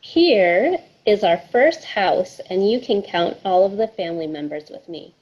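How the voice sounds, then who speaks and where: Female children's storyteller, unhurried and animated, slightly breathy or husky tone, speaking directly to kids. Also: mid-range voice, muffled recording. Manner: sing-song, encouraging, addresses children directly. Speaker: children's story time host reading aloud. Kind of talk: picture book read-aloud for children.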